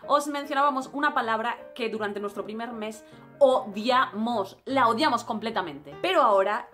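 A young woman with a Spanish accent from Spain, chatting casually to camera as a travel vlogger, animated and expressive. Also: a little high, normal speed, faint music behind. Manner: animated, expressive, gestures a lot. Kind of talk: casual vlog monologue. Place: small room indoors.

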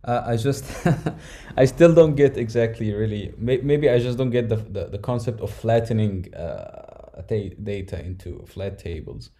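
A man's voice: on the low side, measured pace, hardly any pauses, dark tone, a little hiss or hum. A man in the audience asking a question. He hesitates and stammers, restarting words as he talks. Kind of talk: casual question.

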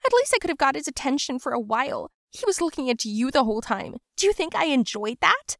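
A woman voicing an insecure young woman who pleads and turns bitter, in a high, emotional voice. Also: very animated, slow pace, gravelly, a bit bright, no echo, clean studio sound, full character voice.

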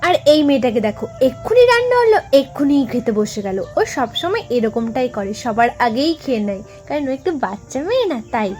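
A woman's voice: high-pitched, a little mellow. A young woman narrating a casual family vlog, chatting directly to her viewers. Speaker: vlogger.

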